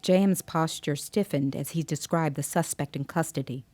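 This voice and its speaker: An actress playing a composed, observant female interviewer, narrating an audio drama in a measured, precise way. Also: low voice, a little mellow. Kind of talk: scripted first-person dramatic narration.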